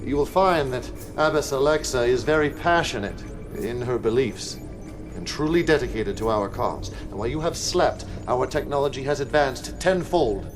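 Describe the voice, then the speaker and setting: An actor playing a zealous, commanding prophet of a militant cult in a video game cutscene, speaking in a measured, theatrical way over music. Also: male voice, mid-range voice, animated, close to an everyday voice. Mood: measured, authoritative.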